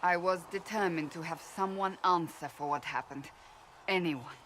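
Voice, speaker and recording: A woman's voice: lowish voice, moderate pace, fairly bright tone, natural voice. An actress playing a stern, devout female warrior in a video game, speaking formally and with grave deliberation. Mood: brooding, earnest. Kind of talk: video game dialogue acting. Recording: noisy game ambience behind the voice.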